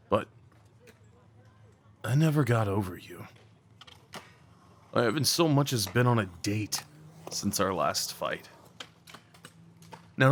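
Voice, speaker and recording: A man playing a remorseful young former delinquent tenderly confessing old love in an intimate roleplay audio drama, speaking haltingly and earnestly. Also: fairly low pitch, slight background noise. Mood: pained, confessional. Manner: halting, confiding, emotionally earnest.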